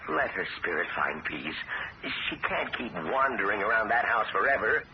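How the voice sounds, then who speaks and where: A man's voice: fairly low pitch, lively intonation, normal speed, close to an everyday voice. An actor playing a nervous, desperate man pleading to get a haunted house sold, stammering as he talks, in a vintage radio drama. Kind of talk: 1970s radio drama acting. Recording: muffled old radio broadcast with loud hiss.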